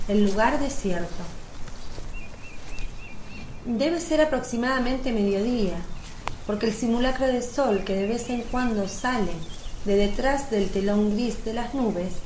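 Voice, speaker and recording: A woman narrator reads a scripted religious story. Her voice sits on the high side. The sound is noticeably roomy, with faint noise in the background. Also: unhurried, long pauses, darkish tone.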